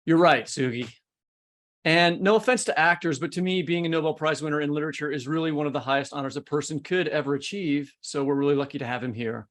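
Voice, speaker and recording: A man's voice: a little high, fast, fairly bright tone. A man co-hosting a podcast in a conversational, chatty style. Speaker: podcast host.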